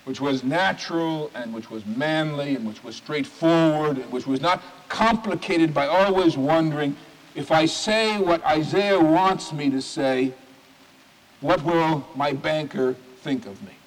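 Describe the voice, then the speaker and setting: A man, a rabbi, giving a sermon in an oratorical manner, stacking phrases with emphatic pauses. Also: fairly high pitch, slight background noise. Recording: reverberant hall recording.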